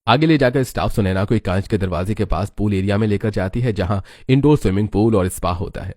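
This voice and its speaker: Low-voiced male story narrator. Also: fast, a little mellow, dry recording, studio quality.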